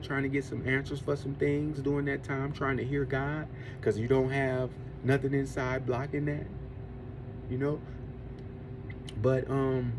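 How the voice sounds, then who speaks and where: A man's voice: mid-range voice, noisy background. A man in his forties giving an earnest, preachy faith-based talk on discipline and eating, circling back to the same points as he goes. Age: 40s. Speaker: podcast host. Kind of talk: faith-based motivational monologue.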